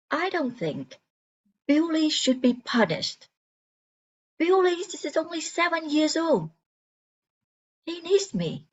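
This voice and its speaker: Woman acting a high-voiced, pleading mother. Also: full character voice. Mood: pleading, firm. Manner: deliberate, halting, pleading. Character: earnest, wounded mother fighting for custody of son. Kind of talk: dramatic stage monologue. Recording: dull lo-fi sound.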